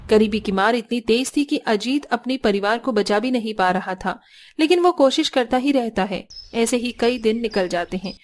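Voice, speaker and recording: A woman reading scripted narration for an animated family story, as the storyteller. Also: fairly high pitch, normal speed.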